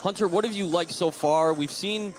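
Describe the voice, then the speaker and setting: A man's voice: sits high, normal speed, low-quality recording. A man calling a basketball game live as the play-by-play announcer on a radio stream.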